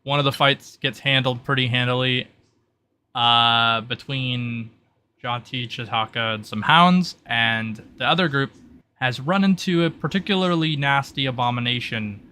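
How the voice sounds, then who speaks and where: A man narrating a tabletop roleplay session as game master, in a halting delivery with drawn-out pauses. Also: mid-range voice, sharp tone, smooth.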